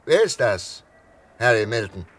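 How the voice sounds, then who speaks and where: A man playing a calm, coaxing psychiatrist in a 1960s German radio thriller, talking in a hesitant, conversational way. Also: on the low side, unhurried, exaggerated voice. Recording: 1963 radio recording, faint noise.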